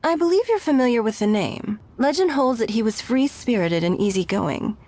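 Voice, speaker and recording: A woman's voice: on the high side, lively intonation, moderate pace, a little mellow, slight background noise, natural voice. A woman playing an elegant, courteous noblewoman leader in a video game, speaking formally and with refinement. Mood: gracious, reflective. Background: game music.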